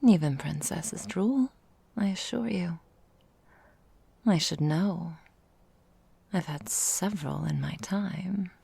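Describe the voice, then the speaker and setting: Woman acting a slow, whispering, seductive vampire mistress. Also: lowish voice, dark tone, exaggerated voice. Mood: teasing, tender. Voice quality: breathy, husky whisper. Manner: slow, velvety, formal old-fashioned phrasing. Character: seductive, predatory ancient vampire mistress, tenderly menacing. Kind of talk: intimate ASMR roleplay.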